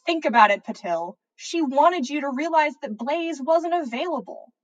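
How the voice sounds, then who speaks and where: A woman puts on an impatient schoolboy wizard from a storybook. He is blunt and agitated, in a lightish high voice, and every word sounds like an eye roll. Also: animated, normal speed, lo-fi sound. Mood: exasperated. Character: exasperated, impatient teenage wizard boy, blunt and snippy.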